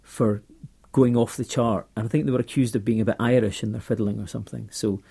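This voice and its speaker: A man's voice: fairly low pitch, fairly even intonation, a little fast, creaky, warm tone, slightly rough. A man presenting, asking rambling, joking questions in casual chat. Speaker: radio presenter.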